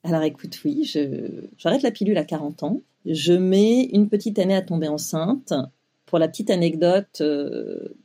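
A woman's voice: sits low, warm tone, slightly rough. A woman in her forties telling her own story as an interview guest, with many fillers and restarts. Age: 40s. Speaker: guest, web publisher.

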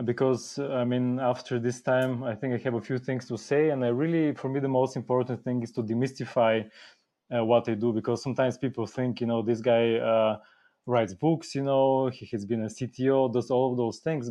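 A man's voice: mid-range voice, dark tone. A man from Bulgaria who speaks natively, a podcast guest talking casually with many fillers. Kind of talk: casual interview.

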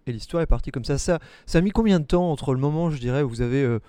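A man's voice: mid-range voice, fast talker, mellow, dry recording. A man reporting on site, asking curious, playful questions. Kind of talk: on-site interview.

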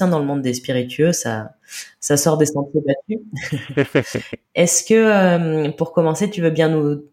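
A woman's voice: low voice, a little mellow. A woman hosting a podcast, introducing the show and asking friendly interview questions with slight hesitations. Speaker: podcast host.

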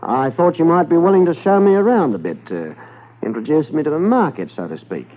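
An actor playing a shrewd, smooth-talking gold smuggler in a 1950s radio drama, guarded and deliberate. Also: male voice, a little high, big pitch swings. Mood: polite, probing. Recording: narrow-band vintage 1950s radio recording.

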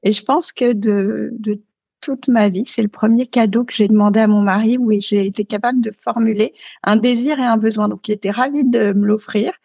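Guest, woman, 30s, French, halting and reflective, dry slightly dull sound. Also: fairly high pitch, fairly even intonation, gravelly, mellow, husky, dry recording. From France. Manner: hesitant, many fillers and restarts. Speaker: podcast guest. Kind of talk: casual interview talk.

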